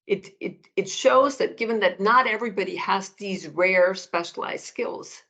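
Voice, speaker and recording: A middle-aged woman from Denmark, a professor giving measured answers in an interview, often restarting phrases as she thinks. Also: medium pitch, fairly bright tone. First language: Danish. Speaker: economics professor, guest.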